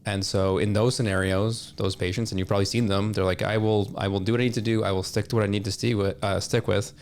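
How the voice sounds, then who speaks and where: A man talks with noticeable vocal fry and a fairly flat intonation. His delivery is measured and explanatory, like a guest on a podcast. A slight noise sits in the background. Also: fairly low pitch. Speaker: podcast guest, supplement industry representative. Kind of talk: conversational explanation.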